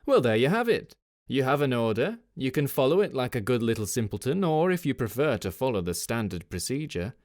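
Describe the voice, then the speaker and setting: A man's voice: mid-range voice, big pitch swings, brisk, pitched above the performer's own voice, natural voice. Man acting a pompous, sneering army officer. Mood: smug. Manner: pompous, commanding, sarcastic. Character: haughty, sneering male military officer, contemptuous and impatient. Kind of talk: dramatic audiobook character voice.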